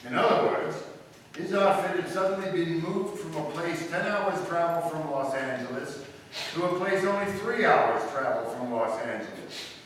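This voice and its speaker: A man playing a clever male amateur detective in a live old-time radio drama, crisp and lecturing, building to emphatic points. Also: on the high side, moderate pace, a bit bright, close to an everyday voice. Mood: explaining. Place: live stage performance in a hall. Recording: echoey hall from far off, faint hiss.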